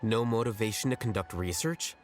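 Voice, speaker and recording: A man playing a composed, blunt young scholar-official in a video game, speaking in a measured, dry, matter-of-fact way. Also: lowish voice, soft background music, natural voice. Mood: dry, probing.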